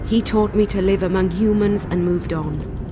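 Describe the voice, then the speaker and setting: An actress playing a proud young female rebel commander in a fantasy game, speaking in an earnest, commanding, measured way. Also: medium pitch, natural voice. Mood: earnest confiding. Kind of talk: dramatic fantasy game acting. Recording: loud background music under dialogue.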